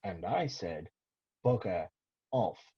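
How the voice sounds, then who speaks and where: Weary but defiant old man, male reader, slow and husky, dry understated delivery, table-read drama. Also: sits low, lively intonation, no echo, exaggerated voice. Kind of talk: amateur script table read. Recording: muffled, dry, lo-fi.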